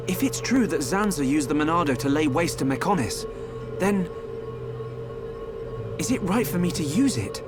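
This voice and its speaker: A man playing an earnest, troubled, gentle young hero in a video game, with a British accent and a hesitant manner. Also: high voice. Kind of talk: video game cutscene voice acting. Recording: game music behind the voice. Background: music.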